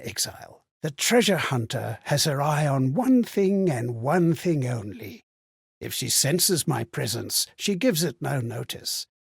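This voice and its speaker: An actor playing a haunted, amnesiac former Templar in a video game, speaking in solemn, archaic, ponderous monologue. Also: male voice, a little high, vocal fry, darkish tone, husky, no echo, clean studio sound, heavily acted.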